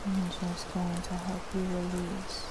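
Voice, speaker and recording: Woman, gentle ASMR healer, very slow pace, even intonation, rain-like noise behind. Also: fairly low pitch. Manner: gentle, reassuring, repeating words slowly. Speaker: ASMR host acting as spiritual healer. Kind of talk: ASMR, soothing guided cleansing.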